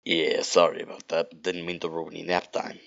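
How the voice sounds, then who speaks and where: A man voicing a sultry cartoon superheroine with a throaty, strained, croaky smoker's voice. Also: deep voice, completely flat delivery, normal speed, fairly bright tone, muffled recording. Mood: apologetic, needy.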